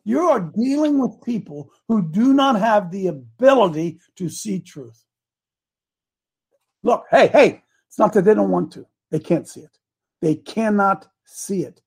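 A man's voice: sits high, vocal fry, darkish tone, slightly rough. A man in his seventies preaching a casual, folksy sermon, repeating his points with emphasis. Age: 70s.

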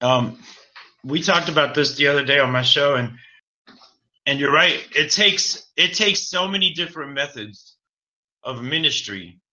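A man's voice: fairly high pitch, normal speed, sharp tone, rough recording. A man who hosts his own show, talking earnestly about religion with many stammered restarts. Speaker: co-host, radio show host. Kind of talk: religious talk.

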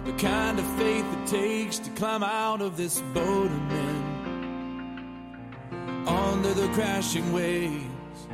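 A woman singing a slow worship song. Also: mid-range voice. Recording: recorded song with loud music.

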